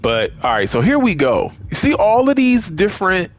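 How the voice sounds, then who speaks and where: A man's voice: high voice, huge pitch swings, normal speed. A man giving casual, off-the-cuff commentary on a video game, emphatic and repeating words for stress. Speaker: gaming video creator.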